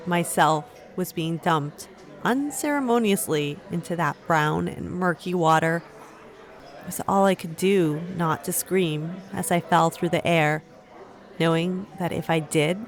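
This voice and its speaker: A woman playing a resilient young woman who recounts her ordeal in a fantasy audio drama. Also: on the low side. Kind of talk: first-person storytelling in an audio drama.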